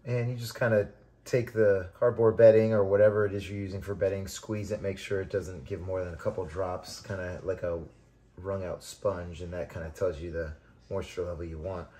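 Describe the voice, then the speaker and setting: A man with a deep voice narrates a how-to lesson in an easy, conversational way. His tone is dark and soft rather than bright, and he explains each step plainly while working through the task. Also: fairly even intonation, normal speed. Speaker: vermicomposting channel host. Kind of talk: hands-on tutorial narration.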